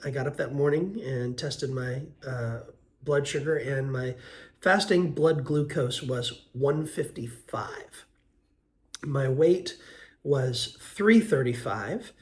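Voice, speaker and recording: Hoarse man in his fifties. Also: mid-range voice. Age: 50s. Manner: earnest, unhurried, frequent uh and um. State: hoarse from a cold. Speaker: vlogger. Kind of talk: casual weight-loss update vlog.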